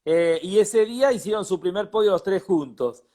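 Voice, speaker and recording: A man's voice: high voice, moderate pace. A man with an Argentine accent, co-hosting a casual motorsport chat and telling anecdotes with animation. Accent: Argentine Spanish. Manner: animated storytelling, repeats himself. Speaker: co-host.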